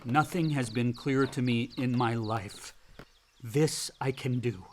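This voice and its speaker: A man's voice: mid-range voice, measured pace, darkish tone, a little hiss or hum, lightly acted. An actor playing a brooding, angry grown older son in a naturalistic radio drama, blunt and informal in his talk. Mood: earnest, reassuring.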